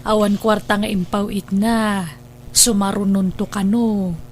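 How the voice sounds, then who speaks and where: A woman playing a gentle, worried elderly grandmother in a radio drama, with a Filipino accent and a patient, admonishing manner. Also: mid-range voice, level tone, measured pace, lightly acted. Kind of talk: Ilocano radio drama acting.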